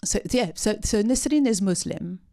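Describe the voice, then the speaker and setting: A woman speaking unscripted at a post-film discussion, answering audience questions with many pauses, ums and restarts. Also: medium pitch, expressive, gravelly, warm tone. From part Syrian.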